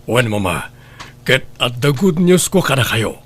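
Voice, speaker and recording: A man playing an earnest lover and confidant in an Ilocano radio drama, with a husky voice. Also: medium pitch, sing-song, a little slow, fairly bright tone. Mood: pleased, eager.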